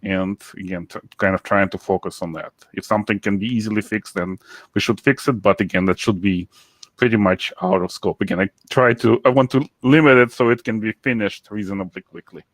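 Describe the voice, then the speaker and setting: Male meeting participant, lowish pitch, close dry sound, insistent restating delivery. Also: fairly quick, no room sound. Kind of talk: technical meeting comment. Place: standards meeting room.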